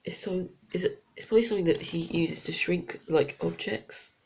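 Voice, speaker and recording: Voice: medium pitch, a little fast, gravelly, a little hiss or hum, rough recording. A reviewer with a blocked, congested nose, chatting casually through a toy set review with unsure, rambling asides. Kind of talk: casual product review vlog.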